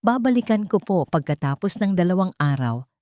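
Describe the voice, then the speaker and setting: A woman's voice: fairly low pitch, lively intonation, normal speed, darkish tone, no room sound, studio quality, natural voice. Woman as a polite, determined young nanny. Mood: firm. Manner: respectful, careful, firm.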